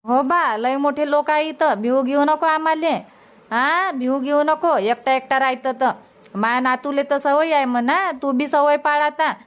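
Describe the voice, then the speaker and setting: Woman voicing high-pitched reassuring village grandmother. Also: measured pace, muffled recording. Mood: coaxing, reassuring. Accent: Vidarbha Marathi. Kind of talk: cartoon comedy dubbing in rural dialect.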